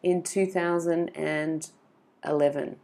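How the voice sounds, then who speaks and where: Low, gravelly Australian woman. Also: steady tone, takes it slowly, darkish tone. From Sydney, Australia. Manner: chatty, rambling with many fillers and restarts. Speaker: guest. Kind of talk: casual interview.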